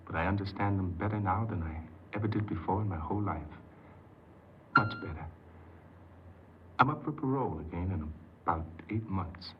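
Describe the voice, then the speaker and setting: An actor playing an earnest, troubled confessed forger in a 1950s radio drama, hesitant and pleading, repeating himself. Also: male voice, deep voice. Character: earnest, troubled male forger confessing his crime, desperate and bewildered. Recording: muffled, noisy 1950s radio recording.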